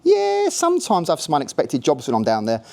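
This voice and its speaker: A man's voice: on the high side, huge pitch swings, a little fast, vocal fry, warm tone, full character voice. A man voicing a cheeky, laid-back male afterlife worker with a Cockney accent tinged with West Country, chirpy and matter-of-fact. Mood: breezy. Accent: Cockney with a hint of West Country.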